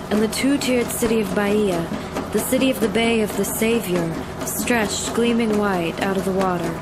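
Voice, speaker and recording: A woman's voice: medium pitch, rough recording. A woman reading literary narration for a documentary. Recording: loud music under voice. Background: loud music.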